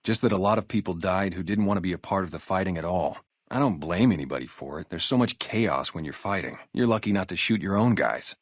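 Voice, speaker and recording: Game role: ex-gang sniper on guard duty, male, low register, lively inflection, casual street manner, guarded but loyal. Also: a little fast, few pauses, dry recording, studio quality. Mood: bitter, reflective. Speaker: security guard and former soldier. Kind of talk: video game character dialogue, naturalistic.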